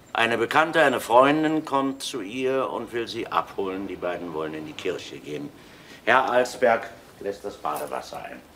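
An actor playing a courteous, methodical police chief inspector in a radio crime play, questioning step by step. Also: male voice, mid-range voice, fairly bright tone, slight background noise. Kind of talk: German radio crime drama acting.

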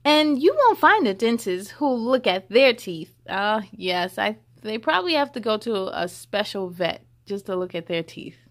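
A young woman hosting a children's story time, reading a picture book expressively with drawn-out emphasis and chatty asides. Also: mid-range voice. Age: young adult. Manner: animated, drawn-out emphasis on rhymes.

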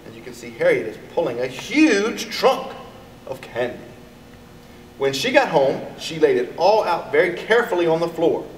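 American guy in middle age doing an expressive kids read-aloud, mic kinda far off, some noise behind. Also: a little high, normal speed. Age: middle-aged. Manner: expressive, addresses children directly. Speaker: elementary school educator reading to pupils. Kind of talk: children's picture book read-aloud. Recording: echoey, distant mic, background noise.

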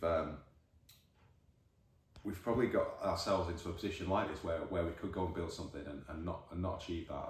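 A man in his forties with a Northern English accent, a football manager giving a measured, thoughtful post-match interview, recorded by a distant camera in an empty changing room. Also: very deep voice, slight background noise. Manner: measured, thoughtful, many restarts. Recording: echoey room, voice from far off.

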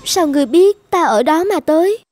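A woman's voice: extremely high pitch, lively intonation, normal speed, darkish tone, soft background music. A woman playing a spirited, naive young princess with a girlish, emotive manner in a children's cartoon. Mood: timid. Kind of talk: dubbed children's cartoon acting.

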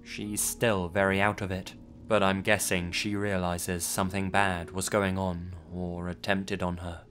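Man, lowish voice, close mic, scripted horror narration, tense delivery. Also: little pitch movement, a little slow, fairly bright tone, faint music behind. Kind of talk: scripted first-person narration.

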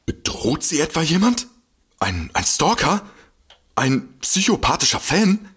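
An actor playing a gullible, excitable man in a comic audio drama, stammering and easily amazed. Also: a little high, a little slow, husky, full character voice. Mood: puzzled, curious. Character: gullible, excitable man, a food-loving paranormal enthusiast.

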